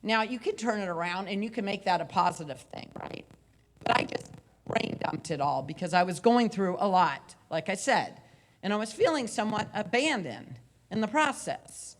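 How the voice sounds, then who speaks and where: A woman in her forties giving a loud, conversational motivational talk into a handheld mic on a conference room stage. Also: medium pitch, animated, normal speed. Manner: loud, conversational, with repeated phrases. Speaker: motivational speaker and coach. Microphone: handheld microphone.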